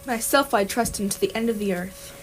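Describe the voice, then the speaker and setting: A woman playing an unflappable alien man passing as human in an amateur radio comedy, brisk and matter-of-fact. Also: medium pitch, a little hiss or hum, lightly acted, rough recording. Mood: deadpan, brisk. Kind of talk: amateur radio theatre acting.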